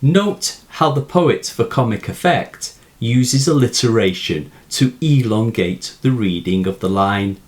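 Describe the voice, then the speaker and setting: Guy teaching poetry from a script, really slow and deliberate, voice goes up and down a lot. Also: medium pitch, animated. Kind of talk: scripted explanatory narration of a poem analysis.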